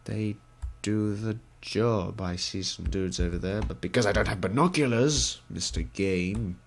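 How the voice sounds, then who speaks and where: A young man commentates a game in a wry, put-on gentlemanly manner, his deep, gravelly voice stuffy from a cold. He speaks into a headset, with faint keyboard clicks behind him. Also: a little slow, slight background noise. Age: young adult. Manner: mock-posh, wry, gentlemanly put-on accent. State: congested from a cold. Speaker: gaming video creator. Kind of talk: let's play commentary.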